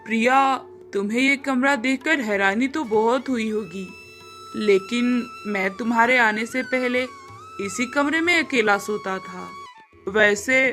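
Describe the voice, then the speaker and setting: A woman's voice: on the high side, unhurried, a bit bright, soft background music. Woman as a humble young rickshaw-puller husband. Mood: humble, explaining.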